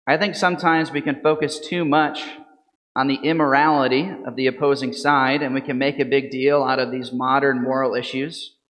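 A man in his thirties, a priest, reads the gospel and gives a calm, measured homily full of questions to the congregation. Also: mid-range voice. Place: church sanctuary at a lectern. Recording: reverberant church from a lectern mic.

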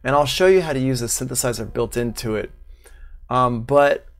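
A man in his thirties giving a casual, unscripted software tutorial as an instructor, thinking aloud as he goes. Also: medium pitch, normal speed. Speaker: online music production instructor. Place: small room at home, indoors, livestream.

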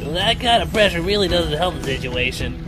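A man's voice: fairly high pitch, animated, a little fast, natural voice, low-quality recording. A man voicing a bumbling, unsure young anime hero in a parody fan dub, hesitant and self-correcting. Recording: loud music over the voice.

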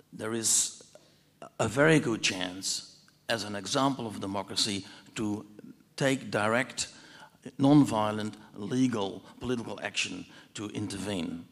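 An older man asking a long, formal, halting question from the audience. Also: on the low side, creaky. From Netherlands. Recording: reverberant hall audience microphone.

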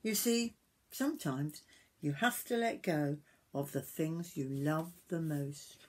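Slow-paced older woman reading a story. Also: low-pitched. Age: 60s. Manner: expressive, with drawn-out words and questions to the listener. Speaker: grandmother reading a picture book to children. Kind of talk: storytime read-aloud with asides to young listeners. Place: small wooden summerhouse.

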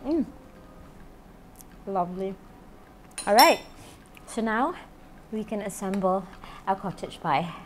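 A woman's voice: mid-range voice, animated, takes it slowly, pauses often, noisy background. A woman in her thirties, a chef hosting a cooking show, chatting upbeat to camera with plenty of "you know" as she cooks, wearing a lapel mic in a kitchen studio. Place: home-style kitchen studio, indoors.

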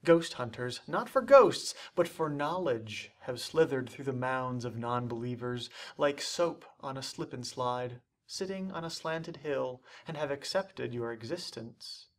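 A man's voice: medium pitch, lively intonation. Theatrical young man reciting mock-serious poetry. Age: young adult. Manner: theatrical, deliberate, with dramatic pauses and swells. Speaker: performer reciting bad poetry. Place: indoors, dark studio stage set.

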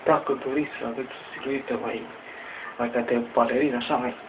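A man in his thirties from Romania rambles haltingly through a casual, improvised exercise demonstration at home, swearing mildly. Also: mid-range voice, fairly even intonation. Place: small room at home. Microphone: webcam mic at a distance. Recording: tinny noisy webcam audio, distant mic.

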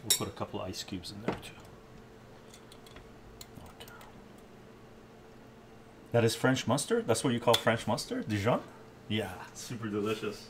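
A man's voice: on the low side, normal speed, long pauses, very noisy. An older man live-streaming his cooking from a home kitchen, chatting and reading comments as he works. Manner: relaxed, chatty, reads chat aloud while cooking. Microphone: camera at distance. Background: clattering dishes and cutlery.

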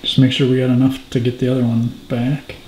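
A man's voice: medium pitch, completely flat delivery, fast. A man hosting a casual hands-on repair chat in a jokey, bantering way. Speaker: host of a repair channel. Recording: echoey room with background noise and clicks.

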